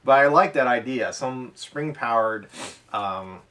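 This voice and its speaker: A man co-hosting a hobby podcast, recorded some way off from the microphone. He talks casually and off the cuff, explaining as he goes in loose, run-on sentences, at a middling pitch. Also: animated, a little slow, sharp tone. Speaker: co-host of an airsoft podcast. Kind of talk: casual unscripted chat. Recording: voice distant from the microphone.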